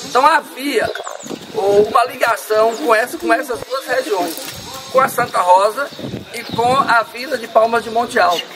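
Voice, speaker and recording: A man's voice: very high-pitched, normal speed. Distant Brazilian man lecturing outdoors. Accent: Brazilian Portuguese. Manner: lecturing, steady explanatory delivery with fillers. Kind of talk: outdoor history talk to a group. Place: sunny town square outdoors. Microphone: far camera. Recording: distant outdoor voice with background noise.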